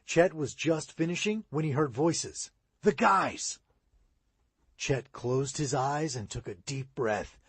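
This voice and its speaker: A man reading a children's audiobook aloud in an expressive storytelling manner. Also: a little high, takes it slowly, breathy edge, dry recording. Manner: expressive read-aloud, rising and falling. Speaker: audiobook reader.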